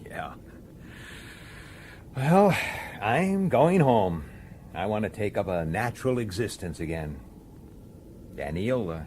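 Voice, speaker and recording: An actor playing a weary, dogged middle-aged police detective in a science fiction radio drama, pressing and intense. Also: male voice, fairly low pitch, sing-song, takes it slowly, long pauses, a little mellow, a little hiss or hum. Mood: weary, reflective. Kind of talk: radio drama acting with first-person narration.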